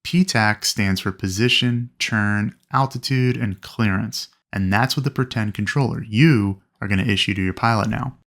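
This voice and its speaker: A man narrating an instructional lesson as a flight instructor, explaining things clearly and methodically. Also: lowish voice, normal speed, few pauses, fairly bright tone. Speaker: flight instructor presenting a training video. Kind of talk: instructional narration with acted radio calls.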